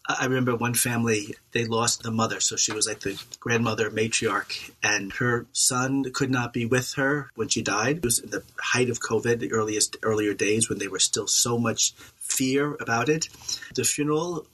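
Priest guy on a podcast, husky but bright voice, calm pastoral chat. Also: mid-range voice, normal speed, rough recording. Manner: warm, reflective, pastoral. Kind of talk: casual conversation.

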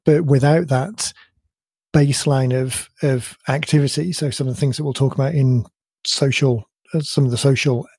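A man co-hosting a podcast in casual chat, his voice a bit croaky, thinking aloud in long rambling sentences. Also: medium pitch, fairly even intonation, moderate pace, a little mellow, no echo. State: slightly croaky voice. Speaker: podcast co-host.

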